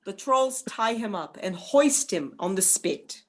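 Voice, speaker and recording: A woman's voice: mid-range voice, lively intonation, measured pace, a little hiss or hum. A woman narrating a scripted folktale as a dramatic storyteller for a radio play.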